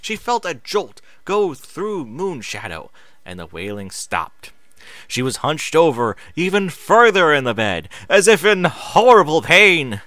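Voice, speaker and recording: A man's voice: fairly high pitch, big pitch swings, measured pace, pushed and bright, dry recording. A man narrating a story in a dramatic reading style.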